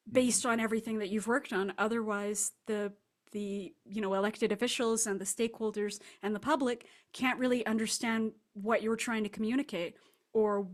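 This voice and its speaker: A woman answers interview questions as a guest author. She talks in a casual, thoughtful conversational style. Her voice has an average pitch for a woman.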